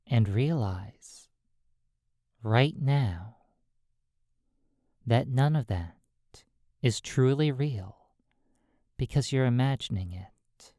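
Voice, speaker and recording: A meditation guide narrating in measured, deliberate short phrases with pauses between them. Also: mid-range voice, dark tone, no room sound, studio quality.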